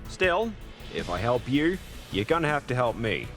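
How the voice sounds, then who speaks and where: A man's voice: mid-range voice, big pitch swings, moderate pace, a bit bright, faint music behind. A man playing a roguish, flippant space captain in a video game, glib, wry and flirtatious in delivery. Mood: wry, bargaining.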